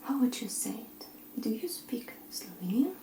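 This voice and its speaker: A woman teaching simple phrases in a soft, often whispered, encouraging way while seated at a table indoors. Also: a little high, big pitch swings, moderate pace, echoey room. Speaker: language teacher.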